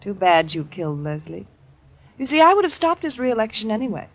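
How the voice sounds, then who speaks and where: A woman's voice: mid-range voice, sing-song, brisk. An actress playing a cool, scornful, triumphant woman in a 1950s radio drama. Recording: dull old radio recording.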